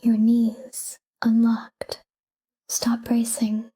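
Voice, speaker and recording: A woman leads a sleep talk-down in a very dark, mellow voice. She speaks softly and breathily, close to a whisper, and keeps an even, calm delivery. Her short phrases are unhurried, with space left between them. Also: on the high side, slightly rough. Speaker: sleep meditation guide. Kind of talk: soft guided sleep meditation, whispered talk-down.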